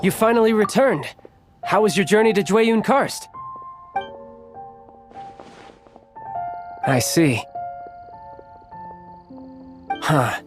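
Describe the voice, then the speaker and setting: An actor playing a suave, sly agent in a video game, theatrical and smooth, with formal, teasing phrasing. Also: male voice, high-pitched, very animated, moderate pace. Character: suave, sly male Fatui agent, polite schemer. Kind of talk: scripted video game character dialogue. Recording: game music behind the voice.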